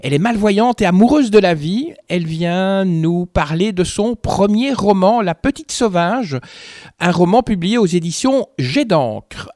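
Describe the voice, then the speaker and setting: A man's voice: high-pitched, animated, a little slow, a little mellow, no echo, studio quality. A man, presenting a podcast and putting questions to a guest in a scripted style. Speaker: podcast host and interviewer.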